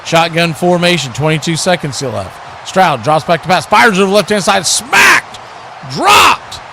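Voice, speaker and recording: An American man giving live play-by-play football commentary in a folksy, animated way, full of homespun exclamations and bursting into loud calls. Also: high-pitched, measured pace, creaky, a little hiss or hum. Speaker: play-by-play sports commentator on a radio watch party.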